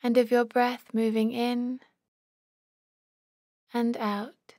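A woman leading a guided body scan meditation as an instructor, calm and measured, giving short, gentle-paced instructions. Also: on the high side, dry recording, clean studio sound.

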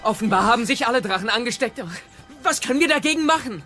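An earnest teenage Viking, a responsible young leader in an animated audio drama. Music plays clearly behind his lines. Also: extremely high pitch, fast talker, full character voice. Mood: puzzled.

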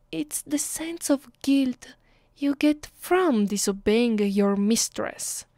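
A woman with an Italian accent playing a domineering, cruel vampire mistress in an ASMR roleplay, commanding and deliberate. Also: fairly high pitch, darkish tone, no room sound, studio quality, exaggerated voice. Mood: stern, commanding. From Italy. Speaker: voice actress. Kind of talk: ASMR roleplay, close and slow with whispered moments.

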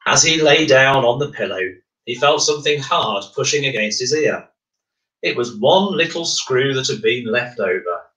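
A man narrating a children's storybook in a measured, expressive way. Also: medium pitch. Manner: measured, expressive, clearly phrased. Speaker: bedtime story reader. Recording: roomy, echoing room.

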